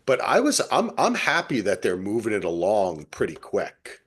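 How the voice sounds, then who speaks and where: Low-pitched, very animated American man. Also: moderate pace, breathy edge. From New Jersey, United States. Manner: animated, profane storyteller. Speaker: podcast co-host. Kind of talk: casual TV recap chat.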